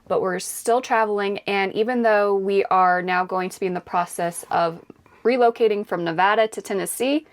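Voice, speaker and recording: A woman co-hosting a travel vlog, chatting casually and agreeably about life on the road. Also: mid-range voice. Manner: chatty, agreeable, many yeahs. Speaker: vlog co-host. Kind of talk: casual chat to camera.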